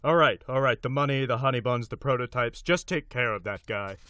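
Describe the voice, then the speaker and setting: A man's voice: medium pitch, a little fast, pushed and bright, dry recording, lightly acted. A man playing a wary, insistent small-time crime boss in an audio drama, with an emphatic, protesting manner. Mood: grudging, insistent.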